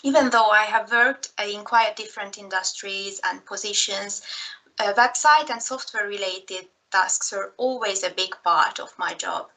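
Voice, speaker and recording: A woman's voice: mid-range voice, normal speed, pushed and bright, poor audio. A woman from Hungary in her thirties with a Hungarian accent, giving a recorded slideshow talk in a careful manner with frequent fillers. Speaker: presenter.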